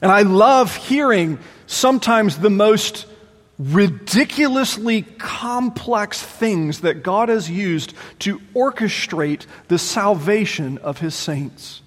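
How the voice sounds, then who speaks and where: A man preaching a sermon as pastor, animated and exclaiming, voicing his listeners' doubts aloud, in a large church sanctuary. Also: sits high, takes it slowly. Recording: long hall reverb.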